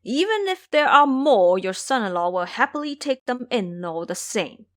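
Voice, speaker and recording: A woman's voice: a little high, sing-song, normal speed, a bit bright. A woman playing a foolish, eager male pig-monster in an audio drama, pleading and blustering. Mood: greedy, boastful.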